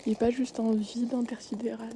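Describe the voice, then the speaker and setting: A young woman speaking softly and often whispering while tidying shelves in a supermarket aisle, with frequent hesitations. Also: fairly high pitch, little pitch movement, brisk, background noise. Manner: soft-spoken, drifting into whispers, many hesitations. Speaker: ASMR creator. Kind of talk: soft-spoken ASMR while tidying shelves. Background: faint store ambience.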